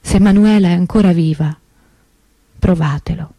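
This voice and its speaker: An actress playing an anguished, stubbornly hopeful grieving sister in a theatrical radio monologue, intense and emotive with dramatic pauses. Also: female voice, on the low side, warm tone, no echo, natural voice. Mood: solemn appeal.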